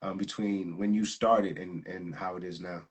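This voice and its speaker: Husky low-voiced man, relaxed chat. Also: normal speed. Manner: relaxed, laughing, slangy, repeats words. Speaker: jiu-jitsu instructor and host. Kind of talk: casual live interview chat.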